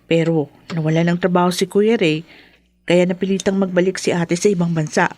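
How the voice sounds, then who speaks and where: A woman's voice: lowish voice, steady tone, a little fast, a little mellow, no echo, close to an everyday voice. A woman playing a young, believing younger sister in a radio drama, explaining respectfully and earnestly. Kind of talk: Filipino radio drama acting.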